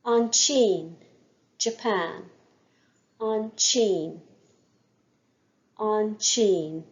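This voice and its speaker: Woman, language lesson, slow deliberate delivery, repeats words for learners, muffled low-fidelity audio. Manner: says each word several times slowly for learners to repeat. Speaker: Irish language teacher. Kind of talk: language lesson with repetition drills. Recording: dull lo-fi recording.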